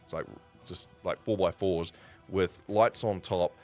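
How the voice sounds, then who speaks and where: A man's voice: low-pitched, fairly even intonation, a little slow, a little mellow, faint music behind. A man in his forties with a New Zealand accent, telling stories in a casual, rambling, conversational way on a live stream. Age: 40s. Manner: rambling, conversational, self-correcting. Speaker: streamer and broadcaster.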